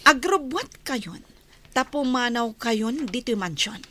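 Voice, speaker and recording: Actress as cold rich madam, radio drama, stern, swooping highish pitch, deliberate pace, faint background noise. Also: female voice, animated, pushed and bright, full character voice. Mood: angry, commanding. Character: haughty, cold mistress of a mansion.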